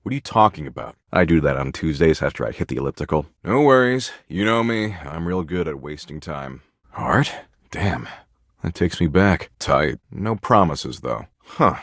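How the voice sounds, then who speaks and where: Jaded slacker dread spirit, deep voice. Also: male voice, very animated, normal speed, no echo, clean studio sound, full character voice. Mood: flippant, laid-back. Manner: flippant, slangy, laid-back, bored drawl with swooping emphasis. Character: jaded, foul-mouthed slacker embodiment of existential dread. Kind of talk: video game character voice lines.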